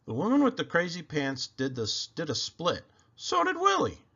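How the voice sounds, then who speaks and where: A man reading a children's book aloud with expressive, emphatic delivery. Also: fairly high pitch, moderate pace, gravelly, lo-fi sound. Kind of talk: animated storybook read-aloud narration.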